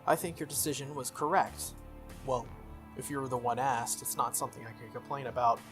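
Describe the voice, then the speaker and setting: A man reading the lines of a sharp, proud teenage schoolgirl from a visual novel. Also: medium pitch, steady tone, a little fast, lightly acted. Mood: grudging, probing. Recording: music behind the voice.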